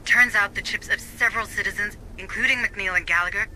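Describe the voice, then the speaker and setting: Bright, precise company administrator. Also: female voice, medium pitch, a little fast, slight background noise, low-quality recording. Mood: focused, explanatory. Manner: matter-of-fact, precise. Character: sharp, analytical female company administrator at a space colony. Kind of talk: scripted video game dialogue. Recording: faint background ambience.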